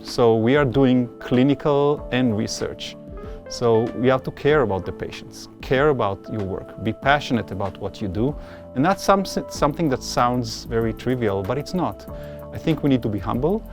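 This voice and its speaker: A man's voice: mid-range voice, animated, normal speed, over music. A man speaking earnestly as a mentor in a documentary interview, giving emphatic advice. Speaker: physician-scientist mentor.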